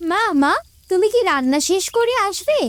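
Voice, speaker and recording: A woman voicing an earnest, kind-hearted village child in an animated moral story. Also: very high voice, moderate pace, no room sound. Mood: eager, earnest.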